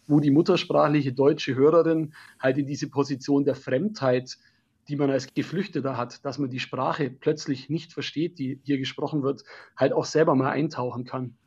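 A man's voice: mid-range voice, fairly even intonation, normal speed, darkish tone, slightly rough, dull audio. A man speaking analytically as a jury guest in a radio discussion. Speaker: jury member, interview guest.

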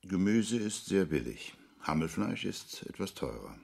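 An actor playing a placid, taciturn villager in a radio drama, with a gruff, husky voice and a stolid, laconic manner. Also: male voice, deep voice, very animated, a little slow, darkish tone, full character voice. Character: taciturn, placid male country villager in a tavern.

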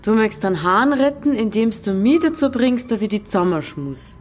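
A woman's voice: on the high side, animated, fairly quick. An actress playing a defiant, curious young village woman in a radio drama, with a Bavarian accent and a sceptical, wry way of questioning. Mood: sceptical, teasing.

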